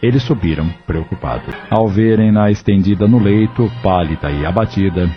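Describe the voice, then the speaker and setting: A man narrating an audio drama in a measured, even storytelling delivery. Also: lowish voice. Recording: dull lo-fi audio with soft music.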